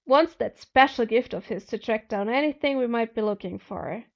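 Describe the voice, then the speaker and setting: A woman voicing a matter-of-fact, mildly disapproving woman expedition teammate in a story reading, casual and brisk. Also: a little high, lively intonation, lo-fi sound.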